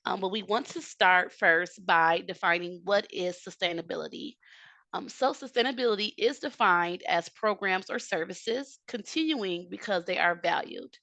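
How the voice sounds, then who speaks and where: A woman presenting an online training session, a bit hoarse from a cold, explaining steadily with frequent "um" fillers. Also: mid-range voice, normal speed, bright, forceful tone, no echo. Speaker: technical assistance presenter. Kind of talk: webinar presentation. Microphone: video call.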